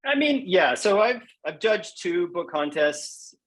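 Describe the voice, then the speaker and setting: A man's voice: high voice, very animated, measured pace. A man speaking as a podcast interview guest, conversational and earnest, repeating phrases for emphasis. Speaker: interview guest, poet and prize judge.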